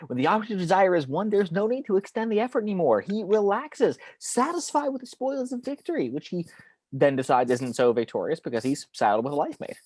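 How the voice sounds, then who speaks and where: A man's voice: high-pitched, animated, fairly quick, darkish tone, dry recording. A man playing a cynical, cocky angel of death in a film script table read, arguing in a slangy, opinionated way. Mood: argumentative.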